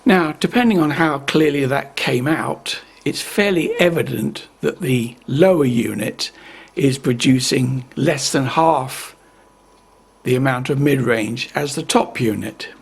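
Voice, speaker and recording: A man giving an unscripted, methodical hands-on explanation in a measured way, as the presenter of a repair video. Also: medium pitch, gravelly, husky.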